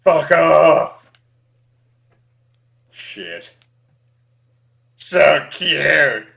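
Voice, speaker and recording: A man's voice: fairly high pitch, expressive, drawn-out words, creaky, a bit bright. A man in casual game banter, reading a character's dialogue aloud in a wry, sweary way. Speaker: co-host voicing the player character.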